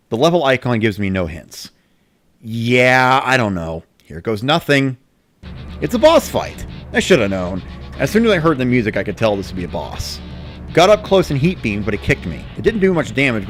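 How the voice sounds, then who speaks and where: A man's voice: medium pitch, no room sound. A man giving a scripted first-person narration, like a diary of playing a video game. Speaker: narrator of a dramatic reading.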